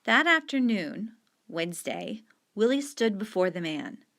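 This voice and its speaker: Slow, steady woman reading a story. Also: mid-range voice. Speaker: story reader. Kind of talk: reading a short story aloud.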